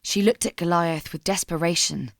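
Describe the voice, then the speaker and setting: A woman narrating scripted dramatic fan fiction as a storyteller, in a measured, solemn manner. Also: lowish voice, creaky, dry recording, clean studio sound.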